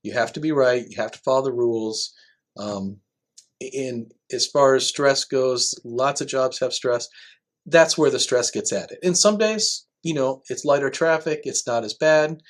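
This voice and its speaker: A man's voice: mid-range voice, measured pace. Conversational man as podcast guest. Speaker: air traffic control manager, podcast guest.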